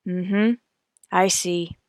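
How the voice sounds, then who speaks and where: A woman's voice: lowish voice, steady tone, extremely slow, clean tone, no room sound, studio quality. A woman playing a terse, businesslike police detective in a radio crime drama, clipped and matter-of-fact. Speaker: narrator and lead actor. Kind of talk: old-time radio crime drama acting.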